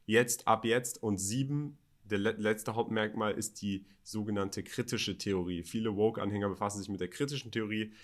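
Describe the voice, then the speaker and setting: A man's voice: on the low side, fairly even intonation, normal speed, hardly any pauses. A man, co-hosting a conversational podcast. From Berlin, Germany. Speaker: podcast co-host. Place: home office studio, indoors. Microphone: studio microphone on boom arm, close.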